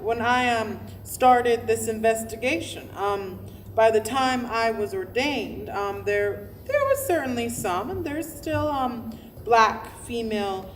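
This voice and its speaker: A woman playing an earnest female pastor reflecting in a verbatim theatre monologue, halting and thoughtful as she goes. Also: on the high side. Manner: halting, thoughtful, self-correcting. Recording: faintly noisy live staged reading.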